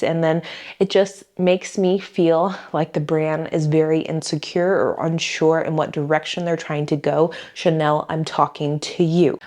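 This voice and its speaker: A woman's voice: sits low, darkish tone. A young woman from Florida hosts a casual vlog. She has a cold and sounds congested and a little nasal, and she talks in a chatty, emphatic way with plenty of "y'all" and "um". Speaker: YouTube host. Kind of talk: casual talking-head vlog.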